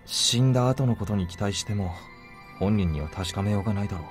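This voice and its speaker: A man's voice: fairly low pitch, rapid-fire, natural voice. An actor playing a bored, cynical male protagonist in a visual novel game, with music underneath. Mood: skeptical.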